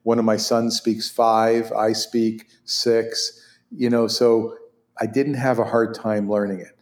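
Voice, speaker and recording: A man's voice: fairly low pitch, slow. Hebrew-speaking older man telling stories in an echoey room. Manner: animated, laughs often, many restarts. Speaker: interview guest, orthopedic surgeon. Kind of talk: conversational storytelling.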